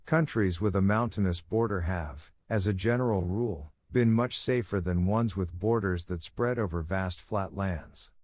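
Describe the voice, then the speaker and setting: A man with a low-set voice narrates scripted exam practice text. His delivery is formal and measured. The sound is dry, with hardly any room reflection. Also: a little mellow, no echo. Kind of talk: scripted read-aloud narration.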